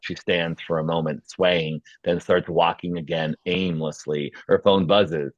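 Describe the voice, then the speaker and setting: A man's voice: low voice, little pitch movement, measured pace, no room sound, dull audio. A man reading scene headings and action as scripted narration at a screenplay table read. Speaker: stage directions reader.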